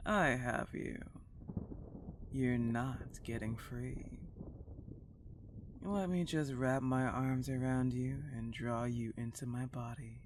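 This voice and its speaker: A woman playing a dark, possessive older vampire countess in an intimate ASMR roleplay, teasing and condescending as she lingers on her words. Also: extremely low pitch, slow pace, long pauses, background noise. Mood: coaxing, insistent.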